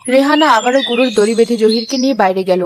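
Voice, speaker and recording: A woman's voice: on the high side, quick pace, noisy background. A woman reading scripted narration for a cartoon village fairy tale.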